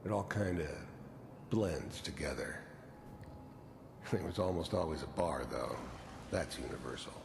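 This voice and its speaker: An actor playing a weary, guilt-ridden hardened killer in a video game, gravelly, brooding and matter-of-fact as he reminisces. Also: very deep voice, expressive, a little slow, long pauses, heavily acted. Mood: cold, matter-of-fact. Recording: echoey, noisy game audio.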